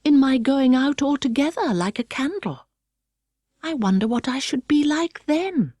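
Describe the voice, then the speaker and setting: Storybook child heroine, played by adult woman: little girl, curious, earnest, well-mannered, raised pitch, very animated melody. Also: measured pace, no echo, studio quality. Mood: nervous musing. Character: curious little girl, polite, earnest, prone to self-scolding.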